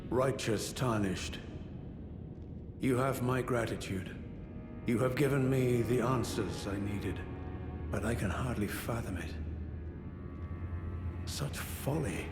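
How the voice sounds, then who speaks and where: An actor playing an aging, honorable warrior knight in a fantasy game, speaking in a measured, formal, ruminative way. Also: male voice, mid-range voice. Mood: courteous, resigned. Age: older. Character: aging honorable male warrior knight, weary, grave, courteous. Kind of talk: dramatic fantasy video game dialogue. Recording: echoey hall with long reverb, music behind.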